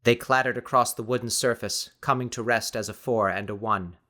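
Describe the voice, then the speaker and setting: Measured male audiobook narrator. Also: medium pitch, steady tone, bright, forceful tone. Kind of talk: scripted dramatic fiction narration.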